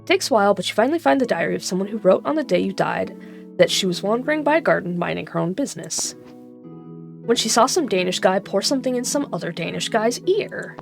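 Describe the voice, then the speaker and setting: Gravelly-voiced woman reading a gamebook aloud. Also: medium pitch, animated, fast talker. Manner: chatty and irreverent, swears and laughs at herself. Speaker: reader of an interactive gamebook. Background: background music.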